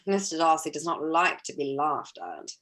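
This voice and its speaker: A woman's voice: deep voice, big pitch swings, unhurried, fairly bright tone, exaggerated voice. Woman playing a haughty, swooping society lady. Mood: flirtatious. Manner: arch, affected, gushing. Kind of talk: theatrical staged reading of period drama.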